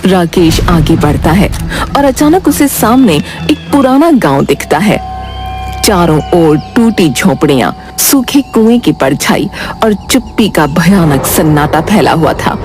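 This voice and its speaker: A woman giving scripted, dramatic storytelling narration for an animated moral story. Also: lowish voice, a little slow. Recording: loud music behind the voice. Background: music.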